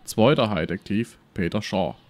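Self-important professor played by a man, low-pitched, Swabian accent, brash and shady, for a comic detective audio drama. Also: lively intonation, normal speed, a little mellow. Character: brash, shady male history professor and treasure hunter. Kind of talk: parody detective radio play.

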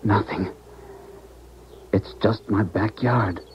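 A man's voice: fairly low pitch, slow pace, a little hiss or hum. An actor playing a strained, stubborn scientist haunted by his dead wife, in a 1970s radio drama. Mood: hesitant. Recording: dull 1970s radio recording, music behind.

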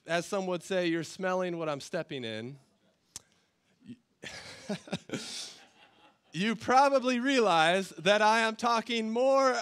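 A man's voice: high voice, a bit bright, clear, resonant tone. A man in his thirties preaching from a church stage on a headset mic, his voice a little worn from a cold, talking conversationally and with emphatic repetition. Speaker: pastor. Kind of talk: sermon.

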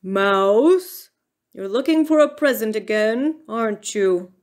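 A stern, gruff bear from a children's storybook, acted out by a woman. He declaims slowly and pompously, with grand swings in pitch and a formal, grumbling manner. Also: expressive, a little mellow. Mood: scolding. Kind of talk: children's storybook character reading.

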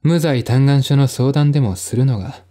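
Man playing a dry, cynical man, mellow voice. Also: medium pitch, natural voice. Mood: sardonic. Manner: dry, sardonic, measured. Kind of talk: dramatized audiobook dialogue.

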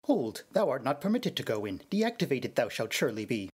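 A prissy golden robot voiced by a man in a Shakespearean audio drama. He is pompous and indignant, with a slightly rough tone and lively, swooping pitch. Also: a little fast. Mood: indignant, fussy. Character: prissy, fussy, scolding golden protocol droid.

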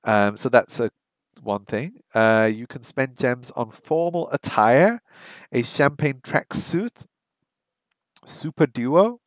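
A middle-aged man talks casually into a headset mic, close and dry. He chats to viewers, stops to sound out practice phrases slowly, and repeats words as he works through them. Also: mid-range voice, no echo. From English first language, lives in Hong Kong. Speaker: streamer learning Japanese. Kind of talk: casual live-stream chat. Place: small home room by a window.

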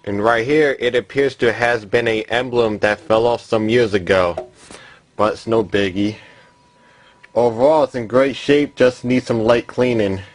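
A man's voice: mid-range voice, unhurried, poor audio. A man giving a casual, matter-of-fact demonstration as a hobbyist, talking plainly from behind a camera indoors. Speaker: vintage radio hobbyist demonstrating a repair. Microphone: camera microphone.

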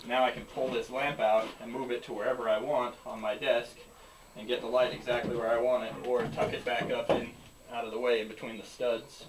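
A man calmly explaining his woodworking setup in a small backyard wooden workshop. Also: mid-range voice, a little fast, noisy background. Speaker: young woodworker showing his shop. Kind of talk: casual explanation.

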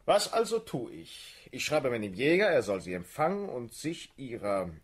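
A man's voice: mid-range voice, very animated, moderate pace, husky. An actor playing a callous, cynical nobleman officer in a German radio drama, drawling and sneering in self-justification. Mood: scornful, smug.

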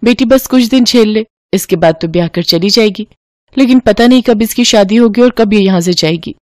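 A woman plays a young cartoon daughter-in-law, sweet-talking and scheming. The delivery is theatrical and expressive at a middle pitch, recorded dry. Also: moderate pace, no room sound, natural voice. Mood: resentful muttering.

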